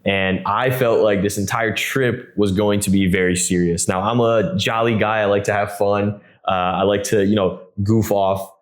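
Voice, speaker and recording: A man's voice: on the low side, normal speed, hardly any pauses. A man in his twenties hosting a podcast in a casual, friendly way, with many "uh" fillers. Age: 20s. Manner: relaxed, frequent "uh" fillers, friendly. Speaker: podcast host.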